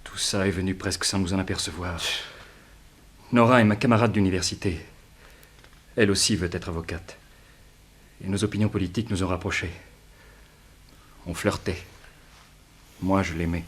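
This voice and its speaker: An actor playing an anguished, naive young student who confesses earnestly, with a husky voice, in a 1960s French radio crime drama. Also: male voice, sits low, steady tone, normal speed, pauses often. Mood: subdued recounting. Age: young adult. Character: anguished young male student, naive and guilt-ridden suspect.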